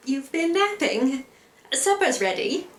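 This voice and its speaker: A woman acts out a prim, tender lady from a river adventure radio play. Her high voice moves slowly, fluttery and hesitant but very proper. It rings in an echoey live room. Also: sing-song, a bit bright, exaggerated voice. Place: live theatre performance in a reverberant room. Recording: echoey live room, noticeable background noise.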